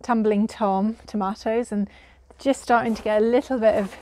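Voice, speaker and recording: Woman, 30s, home gardener, casual tour; mellow, low-key voice, little pitch movement, hesitant, recorded outdoors. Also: fairly even intonation, moderate pace, low-quality recording. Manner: chatty, hesitant, with frequent fillers. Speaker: gardener showing her garden.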